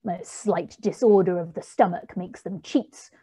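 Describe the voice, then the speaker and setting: A woman's voice: lowish voice, fairly even intonation, a little slow, dark tone, slightly rough. A woman voicing a frightened old miser man, nervous and pleading. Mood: scoffing.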